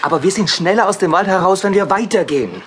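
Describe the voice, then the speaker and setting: A man's voice: high voice, expressive, fast, a bit bright, slight background noise. An actor playing an impatient, skeptical young man in a German horror audio drama, brusque and urgent. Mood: impatient, dismissive.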